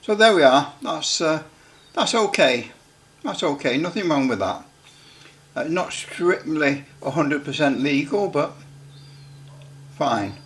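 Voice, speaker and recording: A man giving a casual demonstration as a hobbyist, thinking aloud haltingly and adding tag questions. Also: on the high side, expressive, fairly bright tone, a little hiss or hum. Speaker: radio repair hobbyist demonstrating equipment.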